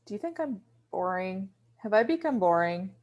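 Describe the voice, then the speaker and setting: A woman's voice: medium pitch, big pitch swings, moderate pace, dull audio, natural voice. An actress playing a shy, apologetic, self-doubting young woman, hesitant and flustered, in a conversational table read. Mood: rattled, self-doubting.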